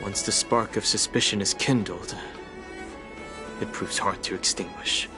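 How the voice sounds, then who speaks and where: An actor playing a grave, weary, analytical man in an anime game, measured, serious and suspicious. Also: fairly low pitch, fairly even intonation, music in the background, natural voice. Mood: grave, pensive.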